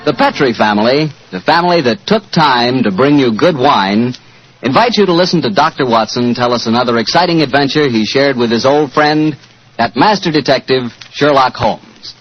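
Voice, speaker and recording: An American man working as announcer and pitchman in 1940s radio style, with a folksy, salesman-like manner. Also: moderate pace, a little hiss or hum. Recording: muffled 1940s radio broadcast recording.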